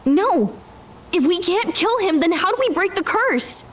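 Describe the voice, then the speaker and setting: A woman voicing a small, excitable, anxious fairy-like companion in a video game. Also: very high voice, measured pace, a little hiss or hum. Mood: worried. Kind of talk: dramatic video game voice acting.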